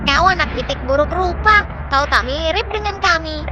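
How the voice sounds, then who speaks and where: A performer voicing excitable little young animals in a children's fairy tale, with shrill, childlike, chanting delivery. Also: normal speed, highs cut off, very noisy. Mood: mocking.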